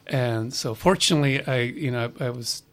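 A man from Los Angeles, a musician guest on a radio show, answering in a relaxed, rambling way with lots of fillers. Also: mid-range voice, fairly quick. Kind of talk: casual interview answers.

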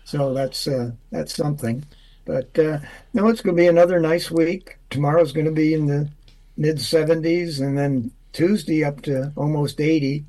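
A man giving a casual weather forecast on the radio, relaxed and unhurried, with many filler sounds. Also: a little high. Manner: relaxed, drawn out with lots of uhs. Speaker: weather forecaster and humor guest.

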